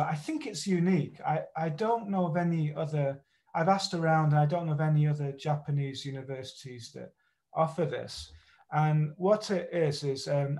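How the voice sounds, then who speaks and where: A male university professor presenting at an online conference, casual and halting, with many fillers and restarts. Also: a little high, darkish tone. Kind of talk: academic presentation over video call. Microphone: video call microphone.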